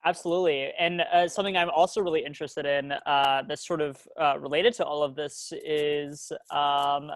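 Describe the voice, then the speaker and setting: Halting man asking interview questions. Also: fairly high pitch, fairly even intonation, no echo. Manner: halting, frequent "uh" fillers.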